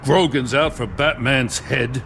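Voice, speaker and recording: A man's voice: mid-range voice, a little slow, creaky, background noise. An actor playing a dutiful middle-aged male police lieutenant in a video game, earnest and frustrated. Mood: weary, troubled. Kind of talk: video game dramatic acting. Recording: music behind the voice.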